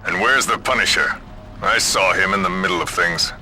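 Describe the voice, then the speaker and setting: An actor playing a commanding, weary male corporate boss in a video game, clipped and forceful. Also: low voice, moderate pace, soft background music, poor audio. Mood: stern. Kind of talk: video game cutscene acting.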